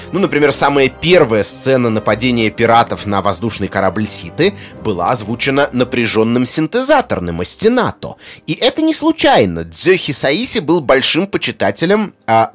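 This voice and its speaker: A man giving an explanatory lecture in an expressive, erudite way, with rhetorical questions and asides. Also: mid-range voice. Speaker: lecturer on film music. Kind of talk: semi-scripted explanatory narration on music and film.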